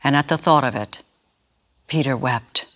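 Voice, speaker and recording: A narrator giving a dramatic scripture reading in a measured, solemn manner. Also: medium pitch, fairly bright tone.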